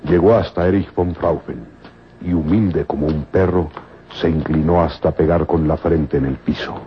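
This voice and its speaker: A man's voice: extremely low pitch, steady tone, normal speed, a little hiss or hum. A man narrating a scripted adventure serial in a dramatic, old-time radio style. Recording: muffled old radio recording. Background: music.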